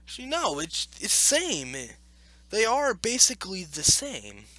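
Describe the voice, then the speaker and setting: A man with a high-pitched, bright voice hosts a casual reaction video. His delivery swings up and down a lot as he argues his points emphatically and circles back to repeat them. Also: wildly swooping pitch, measured pace, no room sound. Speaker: video host reacting to an article. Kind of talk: opinionated casual commentary with read-aloud passages.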